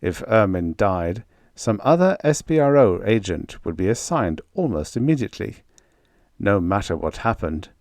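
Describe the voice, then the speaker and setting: A man reading a story aloud as an audiobook narrator, in a measured, expressive literary manner. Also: deep voice, no echo, clean studio sound.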